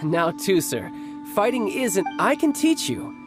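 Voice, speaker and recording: Polished young commander, music behind. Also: male voice, sits high, wildly swooping pitch, a little slow, heavily acted. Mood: affectionate, flustered. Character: young male military commander, doting older brother, smooth and theatrical. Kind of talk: video game voice acting.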